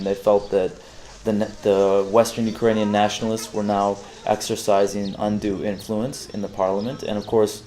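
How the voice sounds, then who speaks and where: A man's voice: on the low side, dark tone. A man giving a reflective documentary interview.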